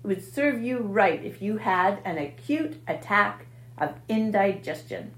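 A woman reading a stern, quiet female spider. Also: medium pitch, sing-song, unhurried. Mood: reproving. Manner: quiet, reproving. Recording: echoey room.

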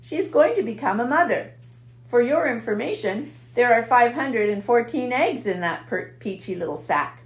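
A woman's voice: on the high side, expressive, moderate pace, pitched above the performer's own voice. A woman voicing an earnest young male pig who speaks in a slightly huffy way, in an echoey room.

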